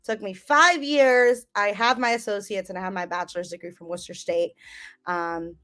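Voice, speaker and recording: A young woman from the United States telling her life story as an interview guest, relaxed and rambling with lots of ums. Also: medium pitch, normal speed. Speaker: guest, business owner.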